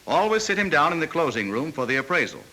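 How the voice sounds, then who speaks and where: An actor playing a knowing, folksy narrator who coaches car salesmen with wry, chummy asides in a mid-century training film. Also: male voice, fairly high pitch, big pitch swings, fast, sharp tone, heavily acted, rough recording. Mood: instructive.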